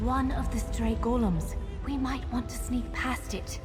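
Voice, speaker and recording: A woman playing a spirited warrior guide in a video game, brisk and encouraging but candidly wary. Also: a little high, steady tone, natural voice. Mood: hushed urgency. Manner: brisk, encouraging, urgent in combat. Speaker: game companion and tutorial guide. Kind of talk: scripted video game dialogue. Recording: long hall reverb, clear music behind. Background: game music.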